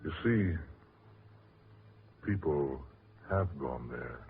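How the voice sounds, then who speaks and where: An actor playing a genteel, credulous British gentleman, earnest and a little hesitant, in a 1940s radio drama. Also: extremely low pitch, animated, drawn-out words, exaggerated voice. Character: genteel, credulous Englishman fascinated by eerie legends. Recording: noisy, tinny 1940s radio recording.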